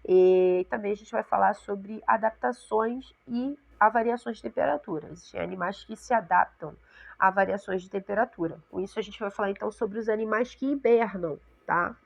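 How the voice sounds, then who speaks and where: A woman's voice: mid-range voice, moderate pace, gravelly. Congested woman teaching a science class. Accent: Brazilian Portuguese. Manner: explanatory, checks in with frequent "tá?" and "né?". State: congested with a cold. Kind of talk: remote class lesson for sixth graders.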